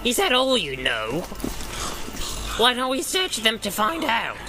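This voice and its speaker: A man's voice: very high-pitched, wildly swooping pitch, measured pace, pitched above the performer's own voice, full character voice. A man voicing a vicious, quarrelsome orc underling with a hissing snarl for a fantasy audiobook. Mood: impatient. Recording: loud soundscape music behind.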